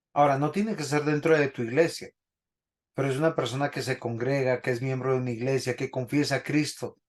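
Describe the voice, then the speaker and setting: A man teaching a Bible class in a church, preaching and putting questions to the congregation. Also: mid-range voice. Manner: didactic, asks the congregation questions.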